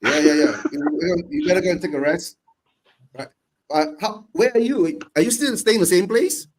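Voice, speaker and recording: Malaysian dude hosting a footy watch-along, slightly higher voice, says yeah yeah a lot. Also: male voice, moderate pace, rough recording. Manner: short reactive replies, repeating "yeah". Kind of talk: casual chat with live match reactions.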